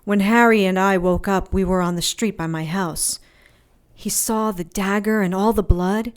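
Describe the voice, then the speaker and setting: A woman's voice: medium pitch, normal speed, clear, resonant tone, natural voice. A woman playing a tough, wounded adventurer in an audio drama, telling her story in a wry, sardonic, colloquial way. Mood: wry storytelling.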